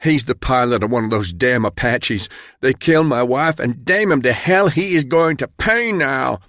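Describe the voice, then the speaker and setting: A man voicing a grief-stricken fighter raging loudly for revenge. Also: mid-range voice, animated, normal speed, no echo, clean studio sound, voice pushed up. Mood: enraged. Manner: furious, loud. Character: grief-stricken, vengeful fighter raging over his killed wife.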